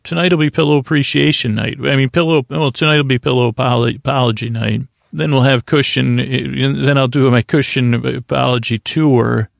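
Rambling, halting man with a gravelly creak. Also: medium pitch, fairly even intonation, no echo. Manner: meandering, halting, stammering, trailing off mid-phrase; whimsical, mock-earnest. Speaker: podcast host. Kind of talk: rambling, improvised bedtime monologue to help listeners sleep.

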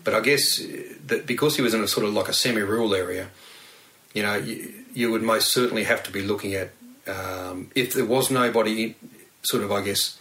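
An Australian man, a former detective, giving halting, rambling expert explanations in an unscripted interview. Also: on the low side, sharp tone.

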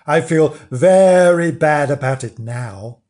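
A sheepish young puppy dog from a children's storybook, acted out by a man. He pleads earnestly and slowly, his pitch rising and falling widely. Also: expressive, a little mellow. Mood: regretful.

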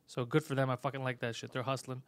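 A man whose first language is Greek, hosting a podcast in a casual, crude chat, loud, sarcastic and mocking, swearing often. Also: medium pitch, little pitch movement, fast, dry recording. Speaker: podcast host.

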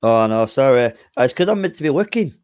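Croaky male co-host, fast talker, dry close sound. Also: medium pitch, sing-song, a little mellow, no room sound. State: slightly croaky voice. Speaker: podcast co-host. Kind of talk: casual football podcast chat.

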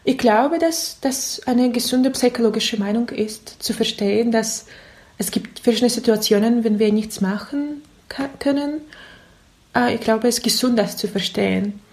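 A woman's voice: a little high, moderate pace, darkish tone. A woman who is not a native German speaker, with a foreign accent, giving reflective interview answers in careful, searching phrases. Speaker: interview guest.

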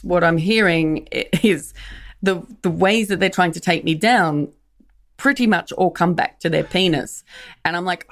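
A woman comedian speaking frankly and wryly as an interview guest, often restarting her sentences. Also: lowish voice. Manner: frank, wry, with halting restarts. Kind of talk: reflective interview talk.

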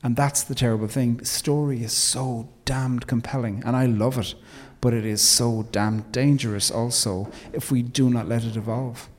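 Irish guy talking slow and thoughtful, mid-pitched voice, kinda hall-like reverb on it. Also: fairly even intonation, darkish tone. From Ireland. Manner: measured and thoughtful, with emphatic turns. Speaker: guest, theologian and poet. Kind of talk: reflective interview conversation.

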